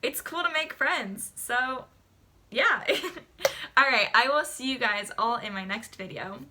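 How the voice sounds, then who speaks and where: A woman's voice: a little high, takes it slowly, noisy background. A young woman chatting casually in a get-to-know-me vlog, rambling with lots of fillers. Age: young adult. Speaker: vlogger.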